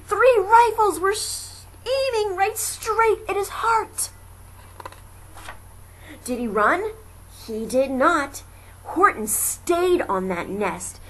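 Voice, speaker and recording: A woman reading a children's story aloud as an amateur, with dramatic, expressive narration. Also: extremely high pitch, takes it slowly. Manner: dramatic, lingering on repeated phrases. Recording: noisy amateur home recording.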